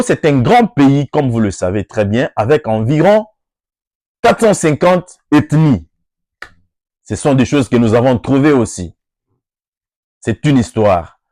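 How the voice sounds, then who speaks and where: A man's voice: mid-range voice, warm tone, no room sound, clean studio sound. A Congolese man with a Congolese French accent, addressing workers on behalf of an NGO in an oratorical style with emphatic rising peaks. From Democratic Republic of the Congo. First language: Lingala or Swahili. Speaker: NGO speaker.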